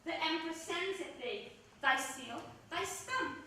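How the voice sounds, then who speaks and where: An anxious nurse who pleads urgently, played by a woman. The voice is very high and very bright. It is heard from a distance in a very echoey room. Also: animated, unhurried, full character voice. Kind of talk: amateur stage acting of verse drama. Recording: echoey room, mic far off.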